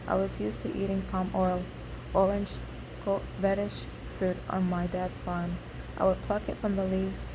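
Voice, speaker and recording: Woman, native Igbo speaker, first-person memoir narration, halting read, little pitch movement, clear background noise. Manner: halting reading with slips and rereads. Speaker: memoir author reading own story.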